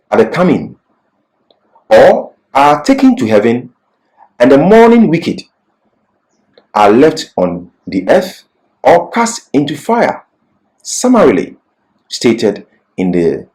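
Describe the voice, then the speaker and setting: A man's voice: medium pitch, wildly swooping pitch, slow, long pauses, a little mellow, poor audio. A man giving an expository Bible lecture as a teacher, repeating key phrases for emphasis.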